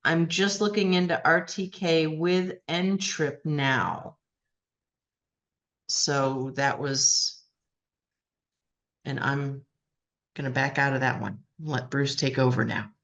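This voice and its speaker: A woman's voice: a bass voice, takes it slowly, long pauses, fairly bright tone. A woman moderating an online session, casually relaying audience questions over a call. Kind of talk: casual reading out of chat questions. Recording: dull online call audio.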